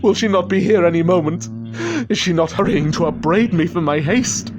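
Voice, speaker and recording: An actor playing a terrified, guilt-ridden gentleman in a radio drama, speaking in feverish, theatrical, archaic phrases. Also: high-pitched, normal speed, lightly acted. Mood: feverish terror. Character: terrified, guilt-ridden, nervously sick gentleman haunted by his sister. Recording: dramatic music behind the voice.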